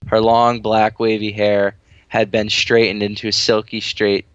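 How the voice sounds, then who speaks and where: A man's voice: on the low side, completely flat delivery, unhurried, clear, resonant tone, no echo, muffled recording. A man reading a story aloud in a casual, amateur way, crude, giggly and easily sidetracked into banter. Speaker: reader on a comedic story-reading show with friends.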